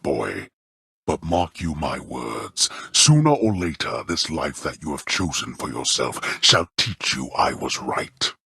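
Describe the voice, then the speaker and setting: A man playing a stern, scornful old god of the underworld in a video game, speaking with pompous, archaic, disdainful diction and a very deep, gravelly voice. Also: fairly bright tone, no room sound, clean studio sound, full character voice. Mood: sardonic contempt. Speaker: voice actor. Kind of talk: theatrical scripted video game character lines.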